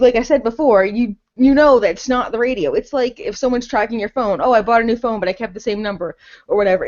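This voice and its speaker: Woman, higher voice, dull lo-fi sound, casual game review, rambling, blunt, sweary. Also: a little mellow. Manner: rambling, heavy use of "like"; blunt, profane. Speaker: podcast host reviewing games.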